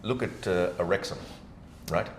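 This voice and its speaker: A deep-voiced man hosts a casual interview. He talks in a matey, opinionated, informal way. The room is clearly echoey, with faint noise in the recording. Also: moderate pace. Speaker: podcast host.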